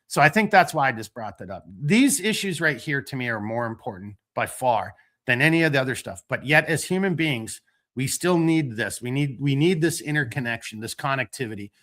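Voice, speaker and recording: A man hosting a casual late-night talk show, rambling and animated, stopping to tell dad jokes. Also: mid-range voice. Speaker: podcast host.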